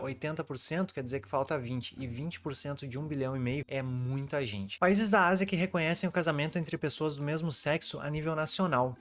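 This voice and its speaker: A man, chatting casually as a video host while walking through maps, tossing in constant "né" and "cara". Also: a little high. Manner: chatty, fast, peppered with "né" and "cara". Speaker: YouTube host. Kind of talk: casual commentary over maps.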